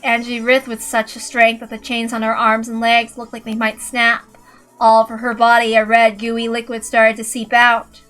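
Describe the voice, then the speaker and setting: A woman's voice: fairly high pitch, monotone, moderate pace, fairly bright tone, faint music behind, rough recording. A woman reading scripted visual novel narration in a dramatic style, as narrator. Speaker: narrator and reader.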